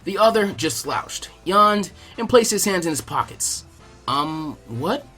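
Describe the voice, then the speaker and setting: A man reading fan fiction as animated scripted narration, switching into character voices. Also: sits high, measured pace. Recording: music behind the reading.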